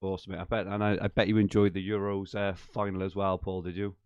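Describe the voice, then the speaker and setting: A man hosting a live fantasy football podcast from his attic, chatty and enthusiastic with lots of fillers, his voice a little croaky from a late night. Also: low-pitched, level tone, fast talker, a little mellow, no echo, dull audio. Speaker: podcast host. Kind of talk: live-stream podcast chat. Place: home attic.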